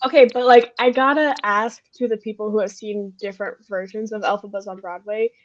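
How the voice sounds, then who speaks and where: A woman's voice: medium pitch, steady tone, brisk, dry recording. A woman on a podcast panel chatting casually, heard through a dull, lo-fi mic. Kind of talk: casual chat.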